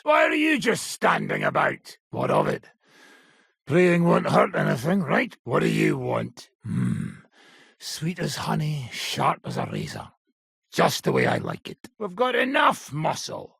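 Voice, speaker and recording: A man playing a gruff, foul-mouthed, menacing mercenary in a video game, with a gravelly, raspy voice and a coarse, swaggering, sardonic manner. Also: fairly high pitch, bright, forceful tone, no echo, studio quality, exaggerated voice. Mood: gruff, wary. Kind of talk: video game character acting.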